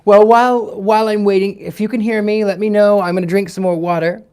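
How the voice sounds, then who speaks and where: A male storyteller hosting a live read. His voice sits on the high side for a man. He reads in a dramatic storytelling style and also chats casually with his listeners. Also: fairly even intonation, a little fast, a little mellow. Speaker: storyteller, livestream host.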